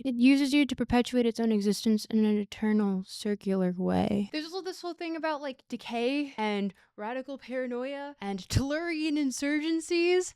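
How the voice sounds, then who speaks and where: A woman's voice: sits high, clean tone, dry recording. A woman presenting a casual scripted video essay with a wry, slangy and emphatic delivery.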